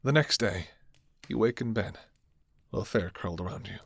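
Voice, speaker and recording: A man voicing the narrator of a fantasy romance, reading scripted storybook narration in a hushed way. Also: lowish voice, normal speed, gravelly, no room sound.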